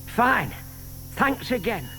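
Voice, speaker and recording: Older male lead: gentle, excitable bachelor, high-pitched and very animated, courteous, slight noise behind, children's audio play. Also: slow pace, gravelly, heavily acted. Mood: courteous, businesslike. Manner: muttering to himself, courteous. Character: gentle, excitable retired bachelor hatching a plan.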